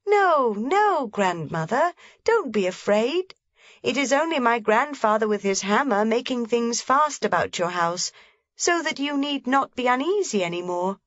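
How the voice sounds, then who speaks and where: A woman's voice: high voice, sing-song, measured pace, a bit bright, no echo, voice pushed up, heavily acted. A woman voicing an eager, pleading little girl in a storybook reading. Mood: soothing. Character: bright excited little girl. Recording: dry, slightly dull recording.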